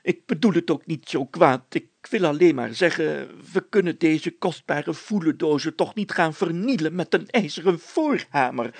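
A pompous, excitable small-town mayor from a storybook , acted out by a male reader. The voice is pitched high and rough-edged, fussy and exclaiming, with indignant stammers and drawn-out words. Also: no echo. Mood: pleading, firm.

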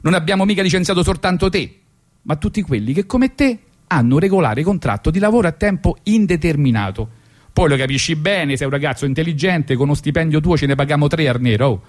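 Man acting out smug boss, swooping into higher pitch. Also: lively intonation, fast talker, natural voice. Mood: self-justifying. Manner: hypocritically polite, self-justifying. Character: smug, falsely regretful Italian boss firing a worker. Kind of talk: satirical monologue storytelling.

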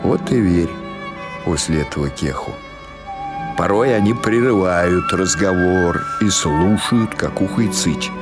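A man's voice: low-pitched, lively intonation, unhurried, music in the background. A man reading literary prose as a scripted audiobook narration.

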